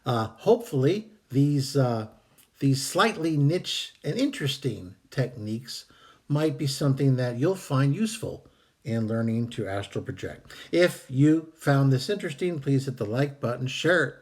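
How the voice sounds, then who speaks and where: A man hosting a video, calmly reading out and explaining a meditation technique with some hesitant fillers, while fighting a cold that is costing him his voice. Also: medium pitch. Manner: calm, measured, with hesitant fillers. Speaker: host.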